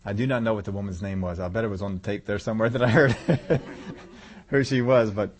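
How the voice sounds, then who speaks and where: A man telling a story in a sermon, folksy and chuckling now and then, dropping low and soft on key lines. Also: steady tone, brisk, slightly rough.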